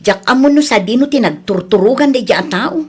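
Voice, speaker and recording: A woman's voice: a little high, animated, a little fast, slightly rough. A woman with an Ilocano Filipino accent acting a long-suffering but defiant young wife and mother in an audio drama. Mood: resigned.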